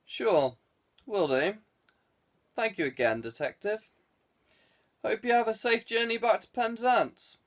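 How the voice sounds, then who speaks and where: A man's voice: high-pitched, slow pace, pauses often, voice pushed up. A man voicing a clever, guarded man who speaks with courteous evasiveness in an audiobook. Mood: guarded politeness. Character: guarded, clever man fending off police questions. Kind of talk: audiobook character dialogue.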